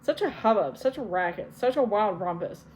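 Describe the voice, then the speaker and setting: A fussy old nurse in her seventies, as a woman reading aloud puts her on mutters and grumbles under her breath. The voice stays close to the reader's own, low-key and complaining. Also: animated, normal speed, a little mellow, a little hiss or hum, close to an everyday voice, poor audio. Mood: grumbling.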